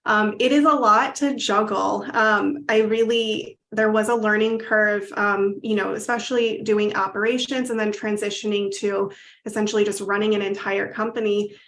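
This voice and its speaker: Female guest, thirties, mid-range pitch, earnest and a little halting. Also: steady tone, a bit bright, a little hiss or hum. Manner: earnest, many "um" fillers. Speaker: guest, company founder. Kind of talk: casual interview.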